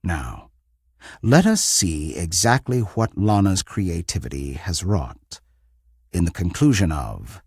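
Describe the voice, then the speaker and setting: A man with a very deep, rough-edged voice hosts an audio drama anthology. His scripted narration is formal and theatrical, swinging widely in pitch, and recorded close and dry. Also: big pitch swings, darkish tone, dry recording. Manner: theatrical, formal, deliberate phrasing.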